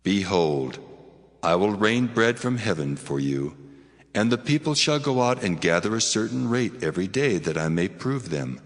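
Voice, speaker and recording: Deep, reverberant voice of God. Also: male voice, big pitch swings. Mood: solemn, commanding. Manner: solemn, measured pronouncement. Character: God, solemn commanding divine male voice. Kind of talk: dramatized scripture reading. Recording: long cavernous reverb.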